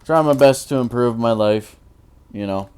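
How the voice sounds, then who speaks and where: A tired man in his twenties with a naturally deep voice, rambling through casual commentary while he builds in a game, full of fillers and restarts. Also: normal speed, a little mellow, clean tone. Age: 20s. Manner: rambling, hesitant, many fillers. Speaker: Minecraft YouTuber.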